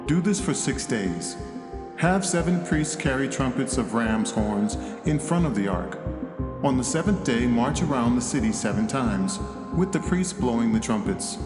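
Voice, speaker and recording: A man voicing a solemn, calm God who gives measured, authoritative instructions in a dramatized audio Bible. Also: mid-range voice, roomy sound, big-room sound, lightly acted. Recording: loud music behind the voice.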